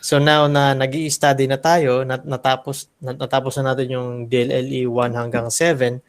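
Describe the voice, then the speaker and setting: A man with a Filipino accent, a teacher and graduate student, speaking haltingly and self-deprecatingly in a class discussion over a video call. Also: medium pitch, flat delivery. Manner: halting, self-deprecating, mixes Tagalog and English.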